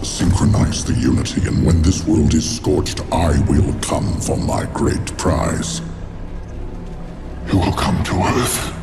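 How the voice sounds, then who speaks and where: A gravelly alien warlord. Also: male voice, heavily acted. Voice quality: deep gravelly, commanding. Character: ancient tyrannical alien warlord, male, merciless. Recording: loud music underneath.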